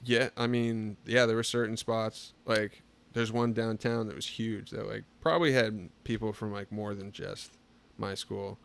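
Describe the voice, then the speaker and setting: A man in his twenties, a podcast guest, chatting casually and telling stories in a relaxed way, full of fillers. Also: fairly low pitch, a little slow, creaky, few pauses, clear, resonant tone. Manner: relaxed storytelling, lots of filler "like". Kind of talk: casual chat.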